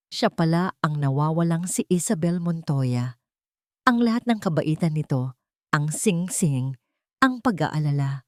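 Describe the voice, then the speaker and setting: Woman storyteller doing dramatic narration, lowish voice, dry close sound. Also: dry recording. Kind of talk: scripted dramatic audio story narration in first person.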